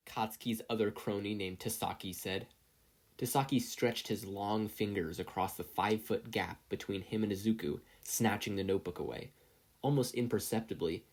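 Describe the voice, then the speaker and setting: A narrator reading scripted fiction aloud as an audiobook-style story. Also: low voice. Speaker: podfic narrator.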